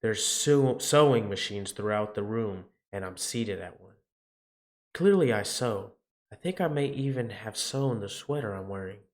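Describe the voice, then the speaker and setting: A man's voice: on the low side, lively intonation, measured pace. A man reading visual novel narration aloud dramatically as he plays. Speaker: game player reading aloud.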